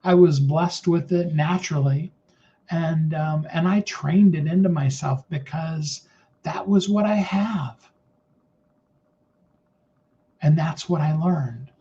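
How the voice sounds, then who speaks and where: A middle-aged man speaks in a naturally high voice, earnest and rambling, piling up fillers as he circles his point. His tone is soft and mellow. The recording sounds muffled and lo-fi. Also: a little slow. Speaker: motivational speaker. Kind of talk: casual motivational talk.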